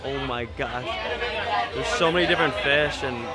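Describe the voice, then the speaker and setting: A man's voice: medium pitch, moderate pace. A young man vlogging to a handheld camera at an outdoor night market, chatty and upbeat. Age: young adult. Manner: upbeat, chatty, talks to camera and haggles with vendors. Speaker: travel vlogger. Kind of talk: casual travel vlog narration. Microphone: camera held at arm's length. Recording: loud crowd and street noise behind.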